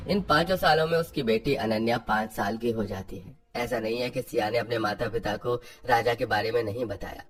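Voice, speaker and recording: A man narrating a scripted story as the narrator. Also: mid-range voice.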